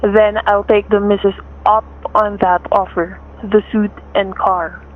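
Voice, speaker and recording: A woman's voice: mid-range voice, little pitch movement, unhurried, natural voice. Thin, phone-like sound frames a woman playing a sneering gunman on the run. The talk is slangy, sarcastic and menacing, in radio-thriller style, always suspicious of a trick.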